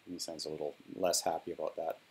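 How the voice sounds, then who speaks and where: A man presenting a casual software walkthrough, speaking in a hesitant, halting way. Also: very deep voice, little pitch movement, husky. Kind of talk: casual screen-recorded walkthrough.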